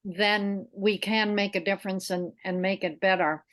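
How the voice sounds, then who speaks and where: A woman's voice: mid-range voice, steady tone, normal speed, fairly bright tone. A middle-aged woman hosting an interview, speaking earnestly and reflectively about her own experience. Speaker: interview host. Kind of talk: conversational interview.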